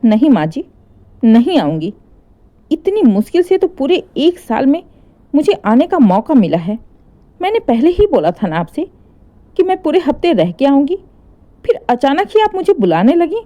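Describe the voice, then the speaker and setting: A woman's voice: high voice, very animated, moderate pace, long pauses, dark tone, voice pushed up. Woman voicing a plaintive young married daughter. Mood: stubborn, annoyed. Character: upset young married daughter, stubborn yet anxious.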